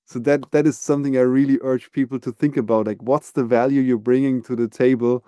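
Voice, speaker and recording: Male podcast host; resonant, mellow voice, dry close mic, measured advising tone. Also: medium pitch, steady tone, smooth, dry recording. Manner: earnest, advising, measured with fillers. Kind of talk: casual interview conversation.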